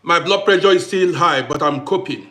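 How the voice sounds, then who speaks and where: A man hosting a casual livestream who greets viewers by name, repeats himself, and swings between loud bursts and quiet asides. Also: on the high side, normal speed. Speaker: livestream host.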